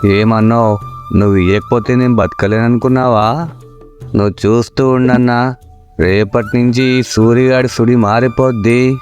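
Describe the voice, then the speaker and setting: A man's voice: mid-range voice, fairly even intonation, a little slow, a little mellow, faint music behind, lightly acted. A man playing a lazy, cheeky young village loafer in a comic story, wisecracking in a flippant, mock-dramatic way. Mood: boastful. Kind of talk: comic Telugu moral-story voice acting.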